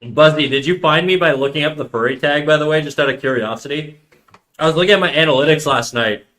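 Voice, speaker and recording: A man's voice: on the high side, fast, fairly bright tone. A man of thirty chatting casually on a live stream, rambling in slang and reacting to chat messages. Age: 30s.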